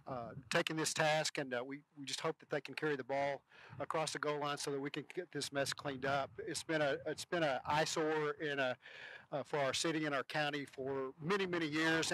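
An older man speaks as a councilman at a podium outdoors. His voice is fairly high and bright, and he makes plain remarks in a halting way. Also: rough recording. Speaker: city councilman. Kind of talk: informal public remarks. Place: outdoors in an open field. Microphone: podium microphone.

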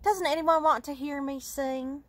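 A woman voicing a spirited little cowgirl with a Southern twang, who sings her silly songs in a bright, drawn-out way. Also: sits high, fairly even intonation, dry recording, voice pushed up. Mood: disappointed. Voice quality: bright, sing-song delivery, drawn-out yodeling yells.

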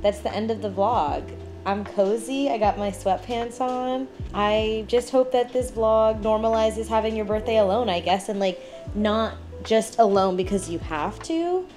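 A woman's voice: fairly high pitch, measured pace, darkish tone. Chatty twenty-something woman vlogging from home, lots of "like"s, faint music behind. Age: 20s. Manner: chatty, reflective, many "like" fillers. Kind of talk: casual reflective vlog talk. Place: at home indoors.

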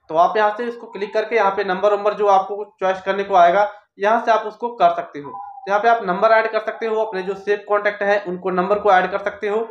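High-pitched man giving a tutorial. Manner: repetitive, instructional. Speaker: tech tutorial host. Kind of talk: step-by-step phone tutorial. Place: small indoor office room. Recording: roomy echo, dull lo-fi sound.

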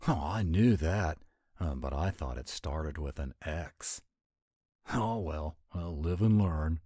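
Man playing a very deep-voiced werewolf boyfriend. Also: expressive, slow, warm tone, dry recording, exaggerated voice. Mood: puzzled, conceding. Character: affectionate, gruff-but-sweet male werewolf boyfriend. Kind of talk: romantic audio roleplay, one-sided intimate dialogue.

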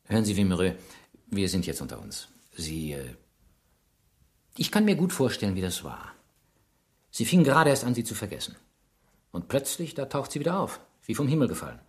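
Low-voiced, insistent police inspector. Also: male voice, wildly swooping pitch, normal speed, long pauses. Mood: probing, coaxing. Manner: probing, pointed, pressing questions. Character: shrewd, insistent male police inspector interrogating a suspect. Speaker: actor in a radio play. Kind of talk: German radio crime drama acting.

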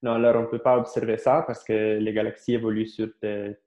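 A man's voice: on the low side, level tone, a little fast, warm tone. A young Italian man, an astrophysics researcher speaking French as a guest on a video call interview, hesitant, with many fillers and restarts. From Italy. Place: small room at home, plain wall. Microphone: earphone headset mic on video call. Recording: roomy, dull video call audio.

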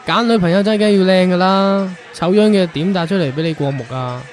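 A man's voice: high-pitched, fairly quick, lightly acted. A man playing a considerate young boyfriend in a radio drama. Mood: exasperated. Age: young adult.

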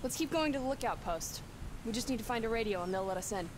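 A woman's voice: fairly high pitch, lively intonation, fast talker, noisy background, close to an everyday voice. A woman playing an earnest, resourceful young woman in a video game, with natural delivery. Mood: urgent. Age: young adult. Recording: music behind the voice.

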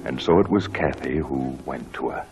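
A proper, solemn husband in an old radio drama. His voice is exceptionally deep and his delivery dramatic. Music plays clearly behind him. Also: normal speed. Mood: sorrowful, reflective. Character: proper, indignant husband narrating his sorrowful memories. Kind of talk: 1940s radio drama acting and narration.

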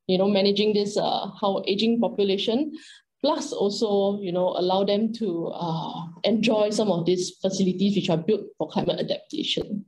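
A woman's voice: mid-range voice, a little mellow, poor audio. A middle-aged Singaporean woman moderating an online panel discussion in a conversational way over a video call. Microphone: video call microphone.

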